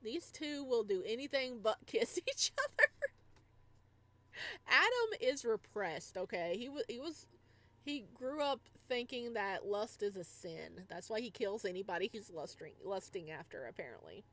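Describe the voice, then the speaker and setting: A woman reading a visual novel aloud in a dramatic, expressive way, with casual commentary. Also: medium pitch, measured pace. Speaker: game streamer.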